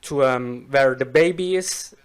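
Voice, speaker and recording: A Swiss man whose first language is Swiss German, speaking careful, slow learner's English as an interview guest. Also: mid-range voice, clean tone. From Switzerland. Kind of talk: casual interview chat.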